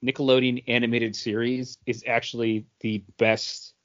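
A man co-hosting a podcast, talking casually and earnestly as a fan, with pauses and emphatic praise. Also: mid-range voice, level tone, fairly bright tone, dull audio. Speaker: podcast co-host. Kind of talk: casual geek-culture panel chat.

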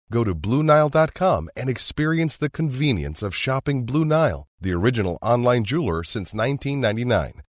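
A man's voice: mid-range voice, no room sound, studio quality. A man reading a scripted ad with a persuasive delivery. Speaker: ad reader. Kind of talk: scripted ad read.

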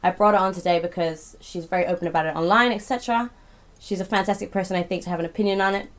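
A woman hosting a podcast in a casual, bubbly, rambling way, her voice croaky from a recent illness. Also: lowish voice, steady tone, very fast. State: hoarse from illness. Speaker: podcast host.

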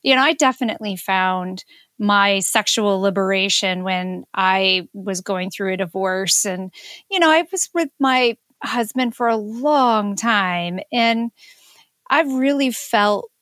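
A woman's voice: mid-range voice, slow, a bit bright, clear, resonant tone, no room sound, studio quality. A woman in a casual, reflective chat, speaking earnestly and feeling her way through her phrases.

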